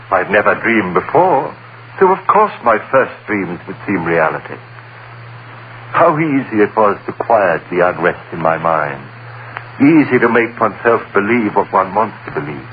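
An actor playing an anguished man of reason unravelling in dread, with dramatic, halting, insistent delivery in old-time radio horror style. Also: lowish voice, phone-quality sound. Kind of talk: old-time radio horror acting, first-person narration. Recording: scratchy, band-limited old radio broadcast.

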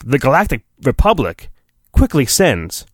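A man's voice: mid-range voice, wildly swooping pitch, a little slow, breathy edge. A middle-aged man hosting a solo podcast, chatting casually and reading aloud with a joking, self-deprecating manner. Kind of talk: casual rambling solo podcast talk with mock dramatic storybook reading.

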